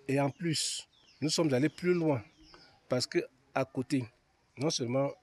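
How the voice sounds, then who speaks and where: A man's voice: medium pitch, a little mellow. A man explaining his organisation's work in an interview. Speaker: organisation representative.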